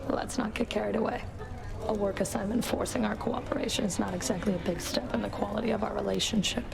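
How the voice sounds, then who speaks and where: Stern female rival, creaky mid-range voice, cool, curt and controlled, guarded game dialogue. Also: mid-range voice, steady tone, quick pace. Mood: guarded, dry.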